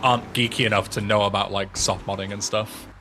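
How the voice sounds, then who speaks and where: A talkative English man in his twenties, his voice sitting a little on the low side. He chats loosely with his livestream audience, answering their messages out loud as they come in. A faint hum of room ambience sits under his voice. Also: flat delivery, a little fast. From England. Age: 20s. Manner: chatty, answers viewers' messages aloud between gameplay talk. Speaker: streamer and speedrunner. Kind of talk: casual livestream chat.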